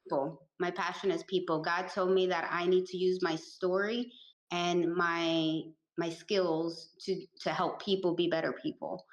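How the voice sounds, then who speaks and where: A woman's voice: on the low side, flat delivery, unhurried, reverberant, lo-fi sound. A woman in her forties who grew up in the Northeast, answering interview questions in a laid-back, straightforward way. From Puerto Rico, raised in the Northeastern US. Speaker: guest, life coach.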